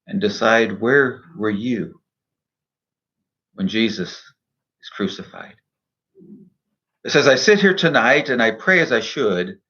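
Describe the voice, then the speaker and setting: Slow-paced man giving an earnest devotional reading. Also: mid-range voice, a bit bright, highs cut off. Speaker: minister and poet reading own poem. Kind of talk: devotional poetry reading and reflection.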